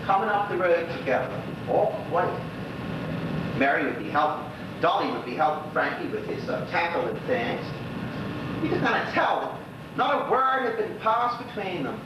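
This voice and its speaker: An actor playing a lonely, gossipy old widower with a Bermudian accent, rambling through neighbourhood memories in a folksy stage monologue. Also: male voice, sing-song, normal speed. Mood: gossipy, reminiscing. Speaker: actor in a one-act monologue. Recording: echoey hall from far off, noisy, rumbling.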